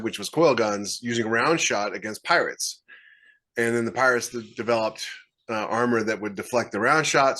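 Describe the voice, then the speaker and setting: A man with a slightly low voice explains in a casual, storytelling way on a podcast call. He halts and circles back to start phrases again. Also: bright, forceful tone. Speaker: podcast co-host, science fiction author. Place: indoors, video call.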